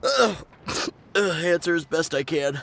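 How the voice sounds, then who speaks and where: Slow, sickly, earnest guard. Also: male voice, fairly high pitch, lively intonation, creaky, a bit bright, exaggerated voice. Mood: sickly, apologetic. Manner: halting, earnest. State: coughing, under the weather. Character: earnest, dutiful male guard soldier, sniffly but resolute. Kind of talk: video game character acting.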